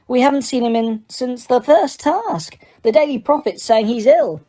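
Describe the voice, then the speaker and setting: A woman's voice: fairly high pitch, lively intonation, moderate pace, dark tone, voice pushed up. A woman voicing a curious, plain-spoken teenage schoolboy wizard.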